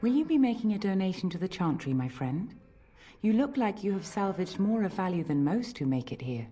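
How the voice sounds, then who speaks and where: A woman playing a stern, guarded head priest in a fantasy video game, formal and measured, with a husky voice. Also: mid-range voice, big pitch swings, dark tone, natural voice. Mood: haughty, expectant. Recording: faint music behind the voice.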